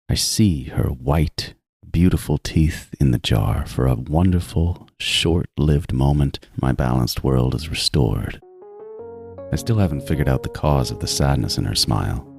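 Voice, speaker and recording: A man playing a meticulous, obsessive serial killer who narrates a horror audio drama in a deliberate, brooding, gloating manner. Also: extremely low pitch, gravelly, a little mellow, husky, no echo, studio quality, exaggerated voice. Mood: obsessed, brooding. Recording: music bed under the voice.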